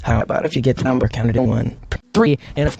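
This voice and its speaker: A man's voice: mid-range voice, fast, gravelly, darkish tone, breathy edge, lo-fi sound. A man delivering a stilted scripted monologue on a noisy recording with clicks.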